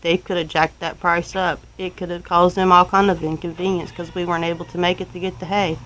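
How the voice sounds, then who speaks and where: A woman's voice: on the low side, flat delivery, fairly quick, fairly bright tone, a little hiss or hum, poor audio. A woman with a Southern US accent tells a personal story to viewers in a casual, folksy, rambling way, using country turns of phrase. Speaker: homestead vlogger telling a personal story.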